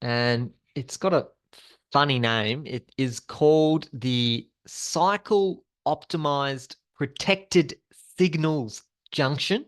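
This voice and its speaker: A man's voice: a little high, slow pace. A man presenting a slide-based training session as an instructor, explaining things in an informal, conversational way.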